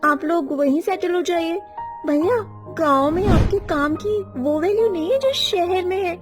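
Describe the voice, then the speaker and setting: A woman's voice: extremely high pitch, a little slow, over music. A woman voicing an eager young married sister-in-law from the city in a cartoon moral story. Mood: sincere, affectionate.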